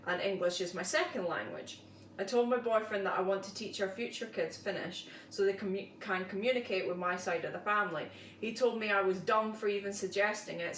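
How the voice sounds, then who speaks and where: A woman's voice: medium pitch, echoey room, far from the mic, a little hiss or hum, poor audio. A woman co-hosting a casual show, reading online posts aloud and chatting about them. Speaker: podcast co-host reading posts.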